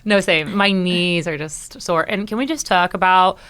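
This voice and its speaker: A woman's voice: fairly low pitch, normal speed, fairly bright tone. A young woman co-hosting a podcast, chatting in a slangy, informal way with some Spanish words mixed in. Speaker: podcast co-host. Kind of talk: casual chat.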